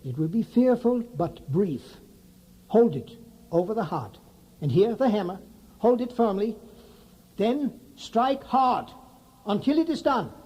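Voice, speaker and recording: A man's voice: sits high, animated, measured pace, long pauses, a little mellow, husky, heavily acted. A man playing a solemn, commanding vampire hunter in a radio drama, with a grave, emphatic, theatrical delivery. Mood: tense, commanding.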